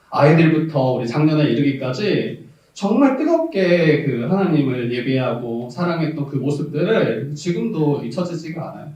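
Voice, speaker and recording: A Korean pastor from South Jeolla with a Jeolla dialect preaches a sermon, candid and reminiscing, laughing often and rising to emphasis, recorded as far-off audio in a church sanctuary. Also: male voice, a little high, a little mellow, very echoey.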